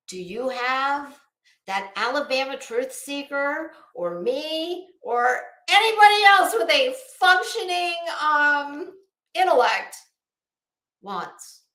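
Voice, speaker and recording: A woman giving rambling, casual online commentary in a sarcastic, mocking manner, with halting delivery and drawn-out pauses. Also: sits high, bright, forceful tone. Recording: roomy, echoey sound.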